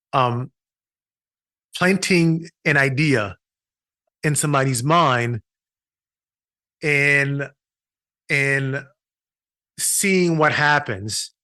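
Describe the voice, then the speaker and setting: A man's voice: mid-range voice, sharp tone, no echo. A middle-aged man from Haiti, a physician, telling his story in an earnest way with restarts in a podcast interview. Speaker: physician and patient experience consultant.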